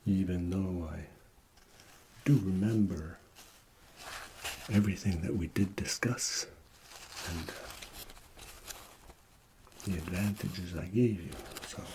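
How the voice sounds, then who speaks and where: A man playing a shady, chatty small-time tax man in a soft-spoken ASMR roleplay, murmuring and rambling hesitantly. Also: low-pitched, slow pace, pauses often, a little hiss or hum, rough recording. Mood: chatty, unhurried.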